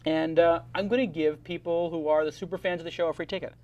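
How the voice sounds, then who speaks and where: High-voiced fast-joking male show host. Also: steady tone, darkish tone, dull audio. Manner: brisk, joking, talks fast in bursts. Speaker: podcast host. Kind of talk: live startup show hosting.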